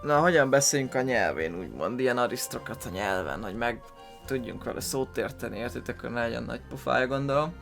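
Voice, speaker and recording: Bright-voiced Hungarian man gaming. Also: medium pitch, expressive, vocal fry. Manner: informal, halting, searching for words. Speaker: gaming video host. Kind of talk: casual let's play commentary. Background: game music.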